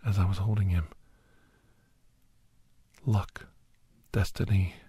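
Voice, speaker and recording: Male performer as a solemn horror narrator for ASMR audio drama; low, husky whisper, deliberate phrasing. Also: fairly even intonation, warm tone, exaggerated voice. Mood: hushed, suspenseful. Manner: slow, deliberate, with pauses and repeated phrases. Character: Doctor Andrew Michaels, grave male storyteller recounting a werewolf encounter. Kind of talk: whispered ASMR dramatic first-person storytelling.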